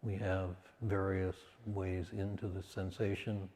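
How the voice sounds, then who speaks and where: A man with a low, dark-toned voice guides a meditation in a soothing manner. He speaks very slowly and leaves long pauses between short phrases. His words carry a long, hall-like reverb. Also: little pitch movement, a little hiss or hum. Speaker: meditation teacher.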